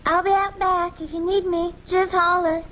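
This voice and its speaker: A woman's voice: very high-pitched, flat delivery, normal speed, fairly bright tone. An actress playing a kindly, reassuring neighbour minding a boy in a 1980s radio drama, taped off the air. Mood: coaxing, reassuring. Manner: coaxing, reassuring. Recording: noisy amateur off-air radio tape.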